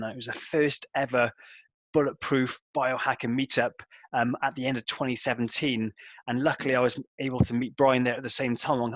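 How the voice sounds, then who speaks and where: Guy in his thirties, co-founder interview, mid pitch, rambling with lots of ums, close dry mic. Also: a bit bright, dry recording. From Wales. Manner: loose, filler-heavy storytelling. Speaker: company co-founder. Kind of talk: casual interview answer.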